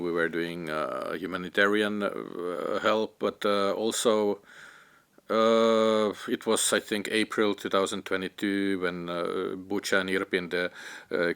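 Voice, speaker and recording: A young man with a very low voice and a clean, smooth tone, talking in a documentary interview. His Ukrainian-born, Finland-raised speech is halting, full of "uh"s and restarts while he hunts for the right English word. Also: fairly bright tone. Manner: halting, many fillers and restarts, searching for words. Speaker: interviewee, cellist and filmmaker.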